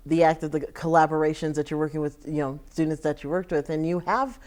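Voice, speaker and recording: A woman with a somewhat low voice hosts a broadcast interview. She puts questions in a polite, affirming way, in the manner of a local TV presenter. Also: fairly even intonation, quick pace, a little mellow. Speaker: TV interviewer and host.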